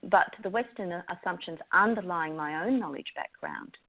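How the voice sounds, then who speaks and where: Australian woman academic, low voice, hesitant with ums, dull lo-fi recording. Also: normal speed. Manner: thoughtful, frequent ums and restarts. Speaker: university lecturer. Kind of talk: prepared talk to educators.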